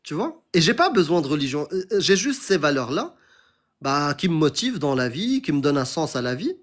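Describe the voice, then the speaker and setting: A young man with a somewhat high voice hosts a debate, talking insistently and pressing his point. He challenges the other speaker again and again, calling him brother. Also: very animated, a little fast. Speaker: host of a debate stream. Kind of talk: heated debate on religion.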